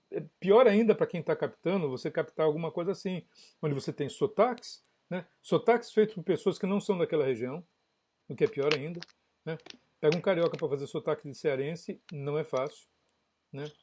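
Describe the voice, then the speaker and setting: A man's voice: fairly high pitch, warm tone. A man from São Paulo state, a guest audio engineer, chatting casually on a live podcast and explaining at length with frequent tag questions. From São Paulo state, Brazil.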